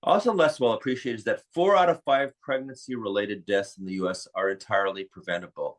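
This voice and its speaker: An American man talking conversationally as an interview guest, explaining things in an opinionated way. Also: sits low. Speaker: interview guest, psychiatrist and author.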